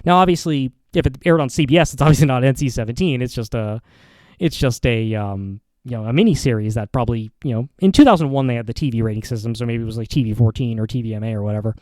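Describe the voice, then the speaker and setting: A man with a soft-edged, mellow voice of ordinary pitch talks casually as a podcast host. He rambles through his opinions, often repeating and correcting himself as he goes. The sound is dry, with very little room to it. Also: no room sound. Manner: halting, rambling delivery with frequent repeats and self-corrections. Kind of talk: casual opinion commentary monologue.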